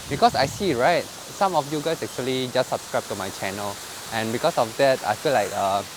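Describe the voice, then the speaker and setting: A man's voice: medium pitch, noisy background. A young man, chatting to the camera as a vlogger while walking in a park, dropping lah and ah into loose, chatty sentences. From Indonesia. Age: 20s. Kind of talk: casual chat to camera. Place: outdoor park path at night.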